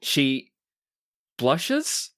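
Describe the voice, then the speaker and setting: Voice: medium pitch, big pitch swings, extremely slow, a bit bright. A game master narrating a tabletop roleplaying game in a playful, improvised way, voicing bits of dialogue along the way.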